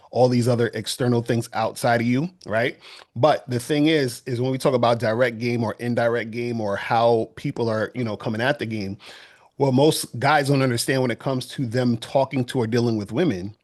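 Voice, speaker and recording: A man lays out his argument in an online debate at a mid pitch. He explains step by step and keeps checking that his listener follows him. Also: darkish tone. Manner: explanatory, keeps checking "you see what I'm saying". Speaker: dating coach.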